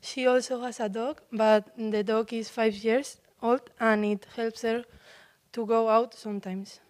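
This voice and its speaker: Flat-voiced teenage girl reading. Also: a little high, unhurried. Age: teens. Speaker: student presenter. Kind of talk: reading a class presentation. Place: large meeting room. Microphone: handheld mic.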